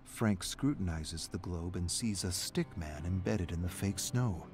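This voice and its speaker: A man reading a scripted story aloud as narrator, in a measured, dramatic storytelling manner. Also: low voice, music in the background.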